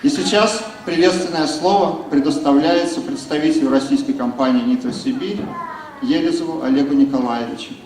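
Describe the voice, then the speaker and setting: A man's voice: a little high, brisk, darkish tone, a little hiss or hum. A man acting as master of ceremonies, introducing guests in formal, ceremonial phrasing. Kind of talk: formal event announcing. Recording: echoey hall, distant mic, crowd behind.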